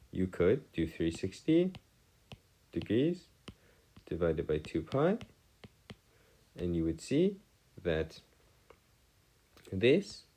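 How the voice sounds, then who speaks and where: Male tutor, low voice, swooping intonation, formal wording, hesitant, step-by-step explaining. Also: dark tone, rough recording. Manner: formal, wordy phrasing, hesitant, self-correcting. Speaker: math tutor. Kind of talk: informal lecture, explaining step by step.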